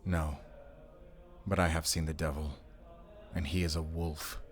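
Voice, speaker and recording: A haunted werewolf hunter, played by a man in an audio drama. His voice sits low, and he speaks tersely and solemnly, guarded and defiant. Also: flat delivery, unhurried, soft background music. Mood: grim. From Germany. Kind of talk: cinematic audio roleplay acting.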